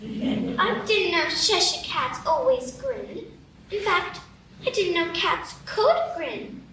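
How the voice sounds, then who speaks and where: Woman doing a prim curious little girl, super high voice, echoey room and far from the mic. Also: animated, slow pace, fairly bright tone, a little hiss or hum, full character voice. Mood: puzzled. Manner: wondering, earnest and prim. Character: curious, polite young girl lost in a strange land. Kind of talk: live radio play acting. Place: live stage.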